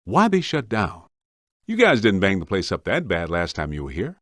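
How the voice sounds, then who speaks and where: A wry, streetwise ghost hunter with a very animated pitch that swings around, recorded dry and close. He grumbles and wisecracks like a working guy in a video game. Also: moderate pace, no room sound, full character voice. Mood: wry, grumbling. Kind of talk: scripted game voice lines.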